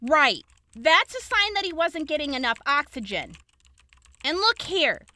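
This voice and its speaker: A woman acting a brisk, clinical young examiner in a post-apocalyptic audio drama, explaining gory details frankly. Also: high-pitched, big pitch swings, pushed and bright, exaggerated voice. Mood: clinical, focused.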